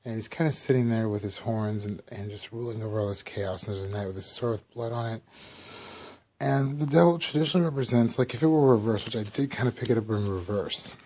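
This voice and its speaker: A man with a slightly raspy voice, casually talking through a weekly tarot reading with loose, rambling explanations. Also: on the low side, quick pace. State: slightly raspy, under the weather. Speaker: tarot reader.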